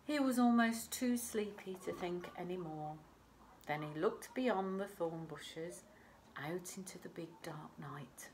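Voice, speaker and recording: A woman reading a bedtime story to young children, expressive and talking straight to them, sometimes dropping to a hush. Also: fairly low pitch, slow, a little hiss or hum. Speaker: primary school storyteller.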